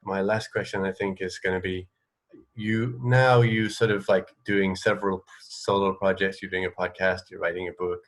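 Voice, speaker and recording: A man hosting a casual radio interview, speaking informally in a hesitant, self-effacing way. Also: low-pitched. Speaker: radio show host, interviewer.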